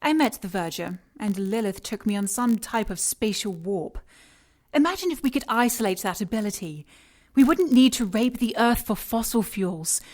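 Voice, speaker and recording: Woman doing a mad-science lady for audio drama, clinical and mocking, kinda seductive, medium pitch. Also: expressive, normal speed, darkish tone, close to an everyday voice. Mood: dismissive, lecturing. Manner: clinical, precise, condescending, teasing. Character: cold, mocking, seductive female scientist in charge of experiments. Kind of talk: audio drama acting, sci-fi noir.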